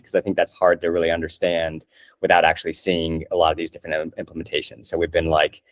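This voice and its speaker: Male tech presenter with a low voice, informal and unscripted, self-effacing, feeling his way through explanations. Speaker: guest project presenter. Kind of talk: casual, unscripted project explanation.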